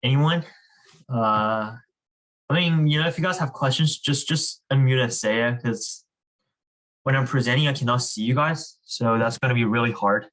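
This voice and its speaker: A man's voice: medium pitch, moderate pace, a bit bright, poor audio. A man leading an online reading club in a casual, encouraging, informal way. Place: online group video call.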